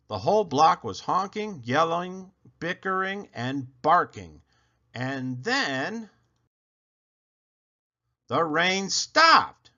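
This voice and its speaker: A man reading a children's picture book aloud, expressively and with dramatic pauses. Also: on the high side, bright, forceful tone, dull audio. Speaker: read-aloud storyteller.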